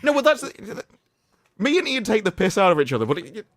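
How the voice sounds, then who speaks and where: A man's voice: high voice, very animated, fast, a bit bright, no echo, clean studio sound. A man with a British accent in casual livestream chat, teasing and explaining things to his co-hosts. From United Kingdom. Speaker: livestream co-host.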